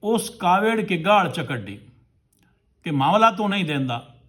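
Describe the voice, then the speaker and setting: Higher-voiced man telling a folk tale. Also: big pitch swings, a little slow, fairly bright tone. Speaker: storyteller, host of a folk tale series. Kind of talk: oral folk tale storytelling, addressing listeners.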